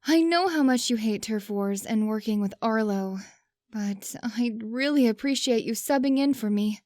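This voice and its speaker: A woman's voice: on the high side, moderate pace, darkish tone, no room sound, studio quality, natural voice. A woman voicing a polite, earnest young female student in a comic dub. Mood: apologetic, serious.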